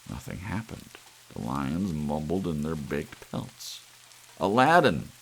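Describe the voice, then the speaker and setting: A man narrating an audiobook story in an ASMR reading style, with soft rain behind him. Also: a bass voice, slow pace, vocal fry, husky, poor audio.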